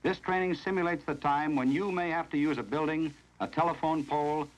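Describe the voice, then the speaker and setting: Higher-pitched American man, vintage training film narrator. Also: low-quality recording. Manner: formal, measured, instructional. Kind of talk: 1970s instructional film narration, scripted. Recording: vintage 1970s film soundtrack.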